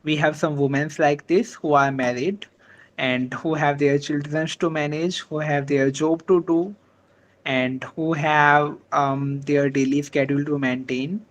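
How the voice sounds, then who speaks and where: Casting note: man on a video call whose first language is Hindi, halting and deferential, slow delivery. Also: a little high, a bit bright. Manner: hesitant, many fillers, polite. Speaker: meeting organizer. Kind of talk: online meeting discussion.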